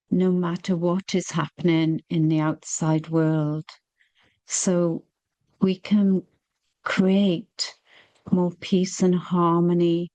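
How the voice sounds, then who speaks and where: A woman leading a guided meditation, speaking gently and soothingly with long, unhurried pauses. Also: fairly low pitch, no room sound.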